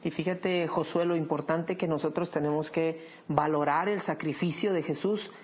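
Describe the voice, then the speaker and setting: A man hosting a Bible study program, leading the discussion in a measured teaching tone. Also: on the high side, fairly even intonation.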